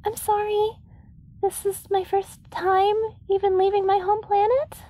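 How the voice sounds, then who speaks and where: A woman's voice: extremely high pitch, little pitch movement, a little slow, mellow. A woman playing a shy young alien farm girl, timid, hesitant and apologetic, in an audio roleplay. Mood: shy, nervous.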